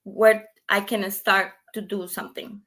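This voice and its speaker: Female guest, native Spanish, Costa Rican accent; bright, forceful voice, animated casual storytelling with repeats for emphasis. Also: medium pitch, unhurried. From Costa Rica. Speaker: podcast guest.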